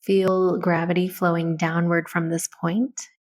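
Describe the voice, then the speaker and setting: A woman leading a guided meditation in a soothing, gentle, instructional way. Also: fairly low pitch, little pitch movement, unhurried.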